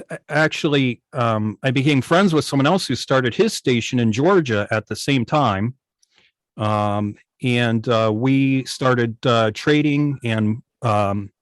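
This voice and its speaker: An older man with an American accent telling stories informally on a video call, halting and often pausing mid-thought. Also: mid-range voice, dry recording. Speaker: guest, old-time radio station operator. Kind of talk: informal storytelling in an online club meeting.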